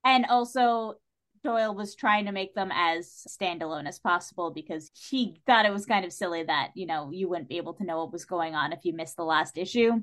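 A woman speaking as a podcast guest in a casual, opinionated discussion, with an animated, wry manner. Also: fairly low pitch, fairly quick.

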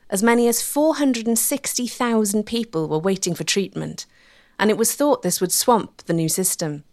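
A woman with a mid-pitched voice narrates a documentary. Her delivery is measured, scripted and articulate, in a very dry recording. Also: darkish tone, no room sound.

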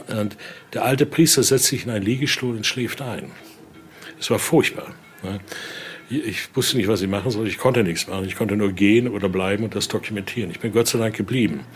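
Older man guiding, noisy room recording. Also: lowish voice. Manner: explanatory, frequent questioning tags. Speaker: museum guide and interviewee. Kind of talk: spontaneous guided-tour storytelling. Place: indoor exhibition room. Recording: clearly noisy room ambience.

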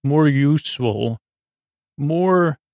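A man with a creaky, gravelly voice hosting a bedtime podcast, meandering through tangents in a halting, stammering monologue. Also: a little high, a little mellow, no room sound. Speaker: podcast host.

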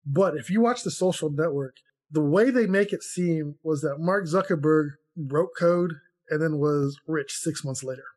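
A man's voice: on the high side, moderate pace, darkish tone. A man co-hosting a podcast, talking casually and reflectively through personal anecdotes as an entrepreneur. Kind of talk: casual conversational talk.

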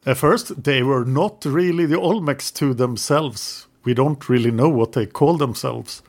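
A man's voice: medium pitch, a little slow. Man explaining history in casual chat. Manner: teasing, leads the talk in long explanations. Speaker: podcast host.

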